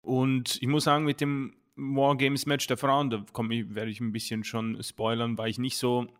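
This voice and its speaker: A man, co-hosting a podcast in casual chat, halting and full of ums as he thinks aloud. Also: mid-range voice, little pitch movement, a bit bright. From Austria. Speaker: podcast co-host.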